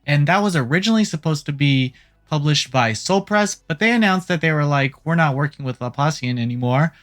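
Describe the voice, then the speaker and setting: A host delivering casual gaming news commentary in an irreverent, jokey way, swearing casually, with a medium-pitched voice. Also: animated, fairly quick. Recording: music playing behind the voice. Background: background music.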